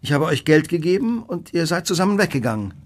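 Exacting detective, animated and precise. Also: male voice, fairly high pitch, quick pace. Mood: urgent, probing. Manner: precise, questioning, authoritative. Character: brilliant, exacting male detective, coolly analytical. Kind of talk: German radio play acting.

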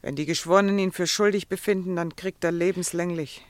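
An actress playing a desperate, determined wife in a German radio drama, earnest, insistent and pleading. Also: female voice, fairly low pitch, steady tone, brisk, no room sound, lightly acted. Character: Mrs Parsons, desperate, determined wife of an accused man.

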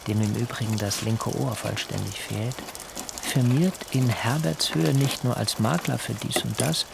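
A man's voice: medium pitch, measured pace, vocal fry, background noise. A man playing a fussy, idealistic German traveller in a radio drama, speaking politely and formally with some hesitation. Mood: composed, recounting. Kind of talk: radio drama acting and first-person narration.

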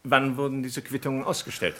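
An actor playing a persistent, polite French investigator in a German radio crime drama, reasoning in a formal, probing way. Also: male voice, mid-range voice, level tone, a little fast, a bit bright, lightly acted. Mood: insistent.

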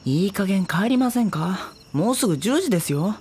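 A woman voicing a polite, reluctant high school boy in an anime audio drama, deadpan and sardonic. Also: normal speed, a little hiss or hum, close to an everyday voice. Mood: weary, polite. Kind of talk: Japanese anime audio drama acting and narration.

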